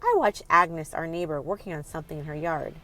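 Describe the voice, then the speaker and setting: A woman's voice: deep voice, fairly quick, a bit bright. A woman hosting a children's read-aloud, reading expressively and putting questions directly to young listeners in an instructive way.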